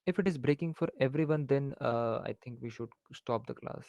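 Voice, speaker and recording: A man's voice: mid-range voice, a little fast, vocal fry, a little mellow, no room sound. A man with an Indian accent teaching maths in an online class over a headset mic. He hesitates often, fills gaps with "uh", and slips between English and Hindi mid-sentence. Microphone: faulty headphones on an online call, voice low.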